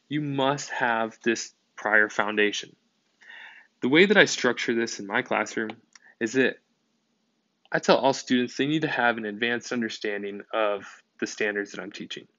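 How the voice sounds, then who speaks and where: A male teacher narrating a whiteboard lesson in a steady, explanatory way. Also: on the low side, vocal fry, dry recording, highs cut off.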